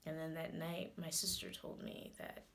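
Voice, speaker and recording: A woman performing a dramatic monologue as a guarded, hot-headed grieving daughter, talking colloquially and haltingly, with repeated phrases. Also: low voice, little pitch movement, gravelly, a bit bright. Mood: subdued, pained.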